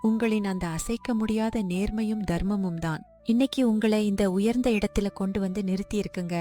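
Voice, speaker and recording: A woman's voice: medium pitch, brisk, warm tone, faint music behind, natural voice. A woman playing a devoted, respectful, devout village wife in a dramatised moral story. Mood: proud.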